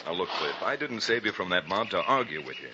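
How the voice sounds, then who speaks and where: An actor playing a steady, heroic cowboy, firm and fatherly, with folksy commanding talk in an old radio western. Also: low voice, a little fast, over music. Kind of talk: 1940s radio western acting. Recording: muffled, noisy old radio recording.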